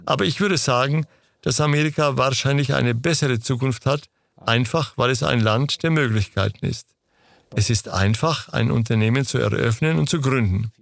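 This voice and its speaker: A man answering interview questions in a steady, lecturing manner. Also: medium pitch, normal speed, creaky, no room sound. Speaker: interview guest.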